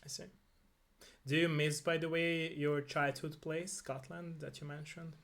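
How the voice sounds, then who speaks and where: Earnest man hosting, quick to agree. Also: a little high, little pitch movement, gravelly, a bit bright. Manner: earnest, repeats short agreements. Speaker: interview host. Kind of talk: casual interview chat.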